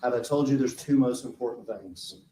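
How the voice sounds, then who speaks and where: A man questioning a witness in a courtroom, slow and deliberate. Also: medium pitch, dark tone, a little hiss or hum. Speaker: prosecutor. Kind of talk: courtroom direct examination. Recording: roomy courtroom audio from far off.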